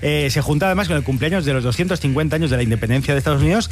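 Fast-talking sarcastic Spanish man. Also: fairly high pitch, slight background noise. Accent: Spain Spanish. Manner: sarcastic, joking asides. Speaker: host. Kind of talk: satirical news monologue.

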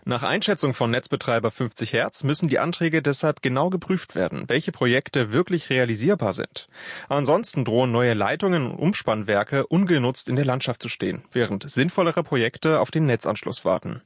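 A man's voice: medium pitch, husky, no room sound. Man reading a radio news report. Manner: measured, even delivery. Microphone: studio microphone. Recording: dry studio sound.

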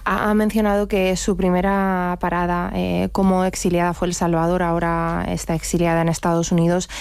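A woman interviewer putting journalistic questions in a somewhat halting way. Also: lowish voice, level tone, vocal fry, a little mellow, smooth, no echo.

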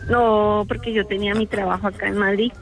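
A woman's voice: medium pitch, level tone, fairly quick. A middle-aged woman with a Colombian accent, chatting casually and telling personal anecdotes as a caller to a radio programme.

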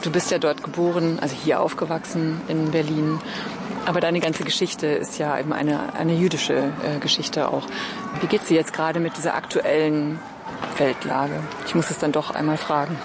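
A woman hosting a conversational interview, putting her questions in a tentative, softened way. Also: low-pitched, little pitch movement, normal speed, noisy background. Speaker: interviewer, host.

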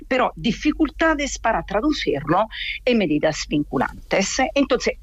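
A woman from Italy with an Italian accent explains things in interview answers as a feminist activist, in a halting, hesitant way with drawn-out pauses. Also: lowish voice, breathy edge, no room sound, muffled recording.

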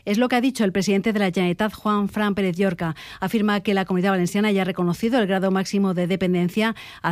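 Brisk Spanish woman reading radio news. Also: lowish voice, a little mellow, dry recording, studio quality. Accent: Spain.

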